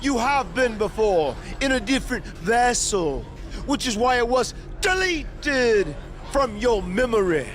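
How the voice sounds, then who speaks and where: Eccentric otherworldly mystic, very high voice, slow stately theatrical delivery, game cutscene. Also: male voice, big pitch swings, fairly bright tone, a little hiss or hum, exaggerated voice. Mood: mystical, grandiose. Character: eccentric mystic man, grandiose and otherworldly, seeking the cosmos. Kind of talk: video game cutscene acting.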